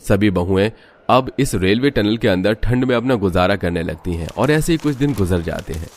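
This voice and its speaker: A man's voice: fairly low pitch, lively intonation, normal speed. A man narrating a scripted cartoon story in a dramatic storytelling style.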